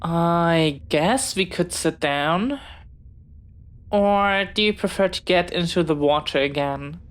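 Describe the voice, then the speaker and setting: A woman acting in a scripted audio roleplay as a bookish, dreamy young pirate, jumpy but earnest, speaking haltingly and dramatically straight to the listener. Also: lowish voice, lively intonation. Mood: relieved, sheepish. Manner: dramatic, halting, swinging from theatrical threats to sheepish chatter.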